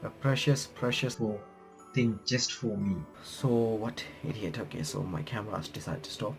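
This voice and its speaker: A man reading visual novel text aloud with bits of his own commentary, halting and correcting himself as he goes. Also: fairly low pitch. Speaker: gaming reaction streamer. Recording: music playing behind the voice. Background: game music.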